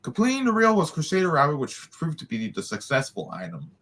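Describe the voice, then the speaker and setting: A man reads aloud in a bright voice with lively swings in pitch. He drops in casual asides, and now and then trips over a word and says it again. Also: a little fast, slight background noise. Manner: stumbles over words and repeats them. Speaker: host of a group read-aloud.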